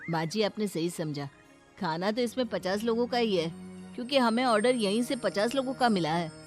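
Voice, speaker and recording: A woman's voice: mid-range voice, normal speed, soft background music, close to an everyday voice. A woman voicing a matter-of-fact food delivery worker in a cartoon story, polite and insistent. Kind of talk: Hindi moral cartoon story acting.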